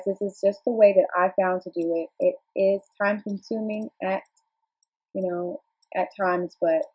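A woman presenting a software tutorial, calmly walking viewers through each click step by step. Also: medium pitch, husky, rough recording. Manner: plain instructional, walks through clicks calmly. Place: home desk, filming a computer screen.

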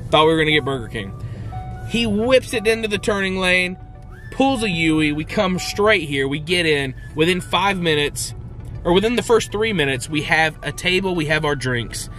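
A man in his thirties vlogging to a handheld camera from a car and a hotel room, telling a rambling, excited story. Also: sits high, normal speed, faint music behind. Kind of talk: casual vlog. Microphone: handheld camera at arm's length.

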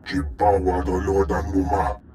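A man playing a gruff male alien in a video game, with a weighty delivery. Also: low voice, completely flat delivery, moderate pace, mellow, slight background noise, natural voice. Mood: imperious. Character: gruff alien male speaking an invented tongue. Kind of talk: scripted video game acting.

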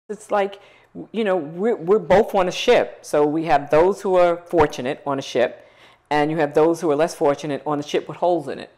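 A middle-aged woman speaks with a low, full voice, pressing her points with passion and emphasis. She sits for a relaxed interview on a living room couch, recorded close on a lapel mic. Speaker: foundation CEO. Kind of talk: interview conversation.

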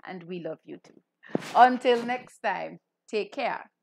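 A middle-aged woman from Belize, a minister hosting a children's Bible program, speaking to camera with emphatic, deliberate phrasing. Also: mid-range voice, gravelly, darkish tone, a little hiss or hum, rough recording.

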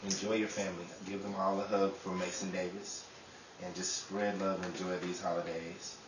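A man's voice: deep voice, level tone, normal speed. A man from the cast giving a holiday sign-off to listeners as an announcer. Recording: roomy, distant, clearly noisy recording.

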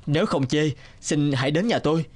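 A man playing a kind, hospitable poor villager in a cartoon, speaking gently and reassuringly. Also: on the high side, a little hiss or hum. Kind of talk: cartoon dubbing.

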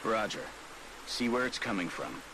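An actor playing a calm male military commander giving orders over the radio in a game, in a clipped, steady manner. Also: lowish voice, normal speed, background noise. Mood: commanding. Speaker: mission commander.

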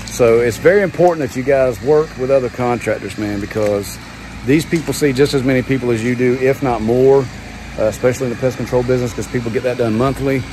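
A man's voice: mid-range voice, a little fast. A man, talking casually to a phone camera about his pressure washing business, chatty and laid-back with lots of "um" and "man". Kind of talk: casual vlog talk to camera. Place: outdoors under a covered patio at a large house. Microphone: phone held at arm's length. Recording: outdoor phone audio with engine noise. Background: pump engine and vehicle noise.

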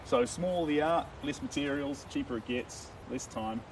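Young man, casual livestream talk while painting, distant camera, loud outdoor rustle and wind. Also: a little high, moderate pace. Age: young adult. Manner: relaxed, chatty, with many ums while painting. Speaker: painter livestreaming his work. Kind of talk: casual livestream chat answering viewer comments. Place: outdoors on a lawn under open sky. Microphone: camera standing a few meters away.

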